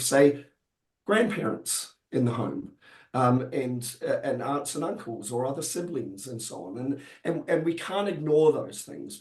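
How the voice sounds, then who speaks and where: Casting: croaky, hesitant man from New Zealand on a video call, echoey room. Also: mid-range voice, a little mellow. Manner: hesitant, many fillers and restarts. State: croaky, recovering from illness. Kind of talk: webinar interview answers.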